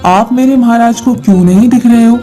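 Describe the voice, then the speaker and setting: A woman voicing a simple, innocent village man, an earnest and plain-spoken devotee in an animated moral story. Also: a little high, fairly quick, close to an everyday voice. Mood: innocent complaint. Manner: naive, earnest, openly complaining to gods. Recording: music behind the voice.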